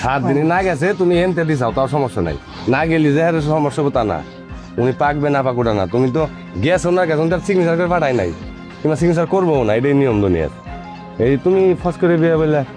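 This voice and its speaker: Rapid-fire male questioner over music. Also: fairly high pitch, expressive. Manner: probing, lecturing. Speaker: questioner interrogating a couple. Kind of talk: informal on-scene questioning. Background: clear music.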